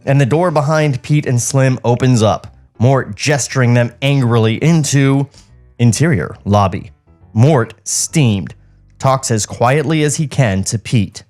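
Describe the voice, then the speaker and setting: A man's voice: mid-range voice, unhurried, darkish tone, soft background music. A man hosting a podcast, reading script directions aloud and commenting casually on them in a comedic table read.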